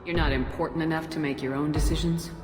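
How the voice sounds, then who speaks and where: Woman as a deep-voiced, no-nonsense space commander. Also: steady tone, fast talker, lightly acted. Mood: firm, commanding. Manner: direct, probing, commanding. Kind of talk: video game voice acting. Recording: echoey long hall, loud music.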